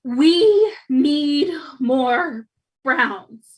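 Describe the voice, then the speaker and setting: Casting: actress as frazzled young food co-op employee, high voice, very animated, earnest and melodramatic, comedy read. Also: female voice, drawn-out words, full character voice, poor audio. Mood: distraught confession. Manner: stammering, overwrought. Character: anxious, guilt-ridden young co-op worker, earnest and dramatic. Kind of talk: comedy table read acting.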